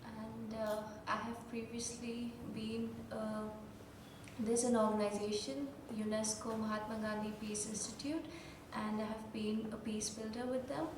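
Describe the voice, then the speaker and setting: Young woman, higher voice. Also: level tone, unhurried. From India. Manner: polite, eager questioning. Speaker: student. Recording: echoey hall, distant mic, background noise.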